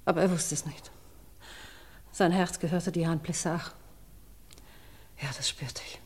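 A woman playing a distressed forty-year-old secretary confessing as a witness in a radio crime play, halting and faltering. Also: on the low side, fairly even intonation, a little mellow, husky. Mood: anguished confession. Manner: halting, faltering, repeating herself. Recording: long reverberant courtroom hall.

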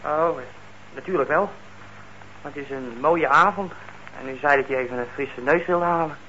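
A young ex-convict in a radio drama, with a high, tense voice. He talks bluntly and bitterly, defiant and wounded, on a dull old recording with slight noise. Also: moderate pace, pushed and bright. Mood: guarded. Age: young adult. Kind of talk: Dutch radio play acting.

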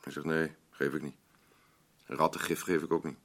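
Bitter middle-aged husband in a radio play, really low gravelly voice, blunt, grumbly, defensive. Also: male voice, little pitch movement, moderate pace, a bit bright. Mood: confessional. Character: bitter, defensive, grieving husband and father, gruffly tough. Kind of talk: naturalistic radio drama acting.

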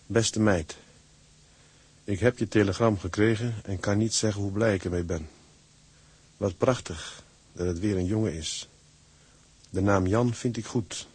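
Casting: fond seaman narrator, low husky voice, close and dry, dramatised letter. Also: darkish tone, dry recording. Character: affectionate seaman narrating his letter home to his wife.

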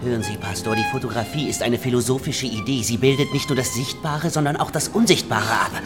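A man's voice: medium pitch, huge pitch swings, a little fast, over loud music. A man playing a gloomy, philosophizing misfit in a radio drama. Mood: brooding, intense. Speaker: actor in a radio play. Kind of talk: German radio drama acting.

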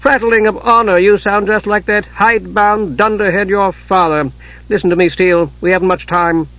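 A man's voice: high-pitched, moderate pace, fairly bright tone, dry recording, dull audio, voice pushed up. A man voicing a cold, scornful and domineering warrior mother in a fantasy story, with a sneering, commanding delivery.

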